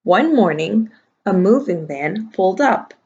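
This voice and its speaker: Lady teacher doing a kids read aloud, explains stuff simply, husky, slightly muffled sound. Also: medium pitch, expressive, a little slow, darkish tone. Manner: simple explanatory asides for young children. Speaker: kindergarten and first grade teacher. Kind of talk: children's picture book read-aloud with explanations.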